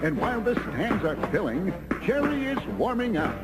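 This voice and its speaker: A man voicing an excitable cartoon tennis commentator, calling the play in a theatrical, exclamatory manner. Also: sits high, normal speed. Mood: announcing. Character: excitable male cartoon tennis match announcer. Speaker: sports commentator. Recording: music under the voice. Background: loud background music.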